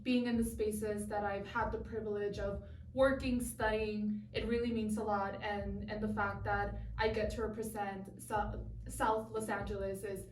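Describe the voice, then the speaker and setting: A woman's voice: medium pitch, little pitch movement, moderate pace, far from the mic, slight background noise. A young adult woman from Southern California, a guest talking earnestly in an interview and repeating words as she thinks. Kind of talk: interview conversation. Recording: echoey room, voice from off mic.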